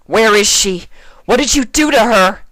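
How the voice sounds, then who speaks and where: Young female cartoon-style unicorn, played by a man: raised pitch, anxious, fierce, storybook reading. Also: expressive, a little slow, sharp tone, no room sound, full character voice, poor audio. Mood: furious. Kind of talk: fan fiction character reading.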